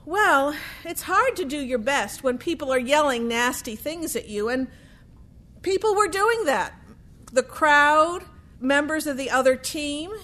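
A woman telling a true sports history story in a deliberate way, with dramatic pauses and emphasis. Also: sits high, expressive, a bit bright.

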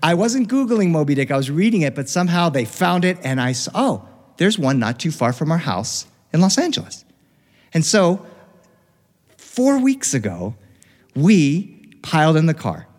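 A middle-aged man with an American accent tells a personal story to a live recording. His voice sits a little high, and he is expressive, leaning on dramatic pauses. A long reverb trails behind his words.

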